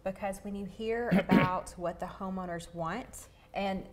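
A woman's voice: medium pitch, steady tone, measured pace, a little hiss or hum. A middle-aged woman with a Southern US accent, hosting a home segment in a polished, upbeat presenter manner. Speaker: TV show host. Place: large home kitchen, indoors.

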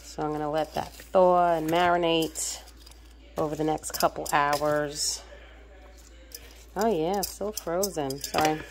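A woman with a slightly low voice talks her way through a meal prep in her home kitchen. She narrates each step casually as she works, filmed on a handheld phone. A faint noise sits behind her. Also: steady tone, slow pace, long pauses. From United States. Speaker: home cook vlogger.

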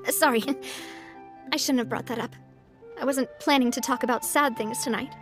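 A woman voicing a cheerful, sincere young woman leader in a video game, speaking in a gentle, earnest, measured way. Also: fairly high pitch, fairly even intonation, close to an everyday voice. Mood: earnest, wistful. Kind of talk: animated video game voice acting. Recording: soft music behind the voice. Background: soft game music.

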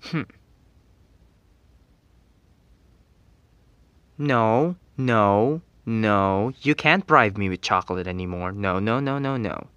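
A man's voice: on the low side, unhurried, a bit bright, clean tone, dry recording. A young man playing a grumpy, teasing tsundere teenage best friend in an ASMR roleplay, scolding in an exasperated, whiny way. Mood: annoyed, then reluctantly forgiving.

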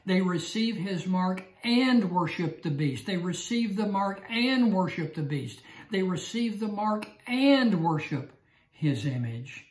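An older man teaching a Bible study class, slow and emphatic, repeating his key phrases. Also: high-pitched, lively intonation, darkish tone, echoey room. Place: small room indoors. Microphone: lapel mic.

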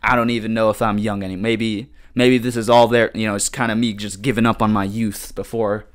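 A man's voice: fairly low pitch, little pitch movement, fairly quick, clean tone. Rambling, slangy young man. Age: 20s. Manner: rambling, slangy, swears a lot, many restarts. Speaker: podcast host. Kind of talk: casual solo podcast monologue.

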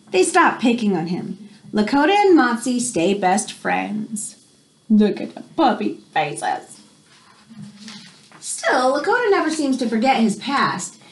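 An American woman in her thirties reading a children's nonfiction book aloud at home, pausing to explain things simply like a teacher. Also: a little high, slight background noise. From United States. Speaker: read-aloud host for children. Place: small room at home, indoors.

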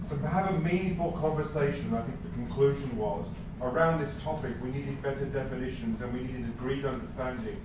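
Aussie guy talking loud across a big echoey meeting room, picked up from far off, chatter noise behind. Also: mid-range voice. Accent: Australian. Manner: loud, searching, restarts often. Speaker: table spokesperson. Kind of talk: summarising a group discussion. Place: large conference room. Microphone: far room mic, no microphone.

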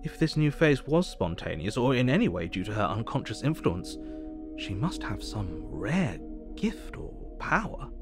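Measured, earnest doctor. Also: male voice, mid-range voice, big pitch swings, over music. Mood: astonished, reflective. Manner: measured, formal, reflective. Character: earnest, observant male asylum doctor recording his diary. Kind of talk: dramatized diary narration.

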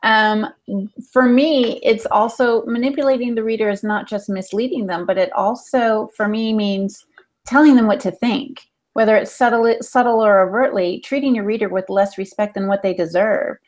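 A woman in her forties speaks at a middling pitch in a casual panel chat. She is a podcast co-host, talking over a video call from a room at home. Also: moderate pace, a little mellow. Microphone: video call with earphones.

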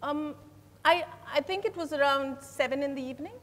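A woman as a high-voiced, guarded young female suspect. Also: a little fast, a bit bright. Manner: guarded, defensive. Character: ambitious, defensive female suspect under questioning. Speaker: stage actor. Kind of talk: dramatized play reading on stage. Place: large hotel ballroom stage. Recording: echoey hall from far off.

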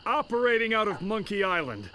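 An actor playing a sarcastic, talkative small-time pirate in a video game, wry and theatrical. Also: male voice, sits high, big pitch swings, brisk, sharp tone, a little hiss or hum, heavily acted.

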